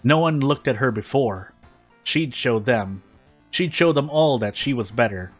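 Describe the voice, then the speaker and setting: A man reads narrative prose aloud in an informal way, at an average male pitch. He sometimes trips on words and goes back over them, with music playing faintly behind him. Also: expressive, a little slow. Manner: stumbles over words and rereads phrases. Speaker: fan fiction reader on a gaming video. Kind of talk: informal read-aloud of a story.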